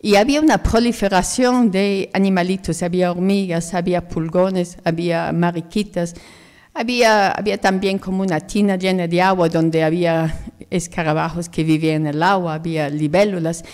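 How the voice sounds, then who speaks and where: Low-voiced British woman, earnest guest. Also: steady tone, darkish tone, in a large hall. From Jersey, United Kingdom. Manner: earnest, unhurried, reflective. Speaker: guest ecologist. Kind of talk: radio interview. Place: radio studio.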